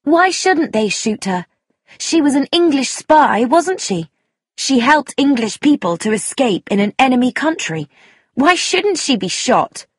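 Woman narrator as young woman, bitter, defiant, emphatic, raised pitch, animated, audiobook dialogue. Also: slow, husky, dry recording, studio quality, full character voice. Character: angry, bitter young woman, passionately anti-patriotic.

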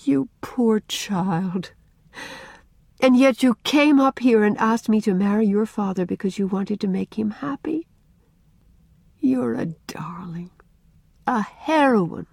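A woman voicing a kind, gentle young woman who speaks softly and reassuringly. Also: medium pitch, a little slow, vocal fry, dry recording, voice pushed up. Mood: tender. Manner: soft, tender, reassuring. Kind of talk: audiobook character reading.

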